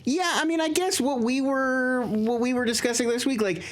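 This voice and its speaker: A man's voice: very high voice, normal speed. A middle-aged man co-hosting a casual pop culture discussion, chatty and emphatic as he thinks aloud. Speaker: co-host commentator.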